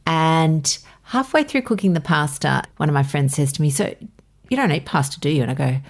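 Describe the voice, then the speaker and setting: A woman's voice: sits low, expressive, brisk. A woman doctor with an Australian accent co-hosting a health podcast, upbeat and bantering with her partner. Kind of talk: casual podcast chat.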